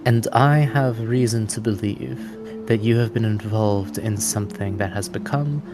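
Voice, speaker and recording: A man voicing a courteous, formal woman paladin in a tabletop game, measured and insistent. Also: on the low side, over music, natural voice. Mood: formal, earnest.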